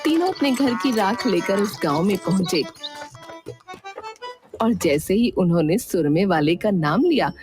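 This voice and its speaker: A woman narrating a moral story for a cartoon in a lively storytelling manner. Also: medium pitch, a little slow, long pauses. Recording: music playing behind.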